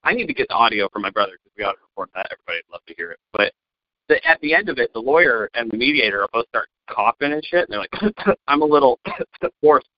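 A man co-hosting a podcast in casual chat, an animated storyteller who laughs often. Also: medium pitch, quick pace. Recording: dull lo-fi sound, dry.

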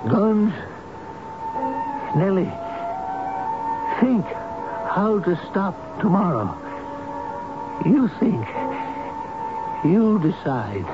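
Radio play: tender, childlike old man, scared and tired, halting folksy talk, muffled broadcast with music. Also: a little high, full character voice. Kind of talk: radio drama acting.

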